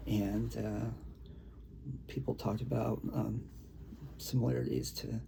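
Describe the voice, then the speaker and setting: Male poet, very creaky and mellow, casual and halting delivery between chat and reading, faint noise behind the voice. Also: medium pitch, little pitch movement. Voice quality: gravelly, creaky. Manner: halting, rambling, conversational. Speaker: poet reading own work. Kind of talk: casual talk and poetry reading.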